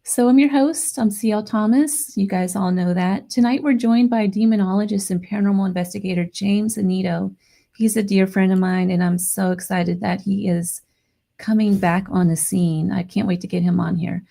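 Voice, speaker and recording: A woman hosting a live paranormal radio show, hoarse and losing her voice, chatting excitedly with her listeners. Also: mid-range voice, normal speed. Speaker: radio podcast host. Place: home studio near Las Vegas.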